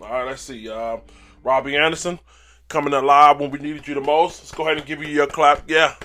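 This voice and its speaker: A man hosting a sports fan channel, giving a casual game recap in a hoarse, raspy voice, with hyped call-and-response and frequent throat clearing. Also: on the high side, fairly even intonation, a little fast, bright, forceful tone, slight background noise.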